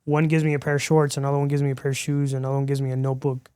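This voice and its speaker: A man's voice: mid-range voice, rapid-fire, clean tone, dry recording, clean studio sound. A man from Miami, a podcast guest, telling his story in a casual interview and acting out quoted dialogue as he goes. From Miami, Florida. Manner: animated storyteller who acts out quoted dialogue.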